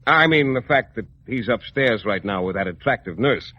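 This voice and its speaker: An actor playing a haughty, bossy painter with a morbid streak, imperious and theatrical in old radio comedy style. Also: male voice, mid-range voice, lively intonation, moderate pace, lightly acted. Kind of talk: 1940s-50s radio comedy acting. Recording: muffled, faintly noisy old radio broadcast.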